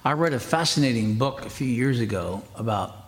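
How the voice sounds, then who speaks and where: A man hosting an interview, chatty and quick to laugh, asking his guests leading questions. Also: mid-range voice, lively intonation. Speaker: radio interview host. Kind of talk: conversational interview.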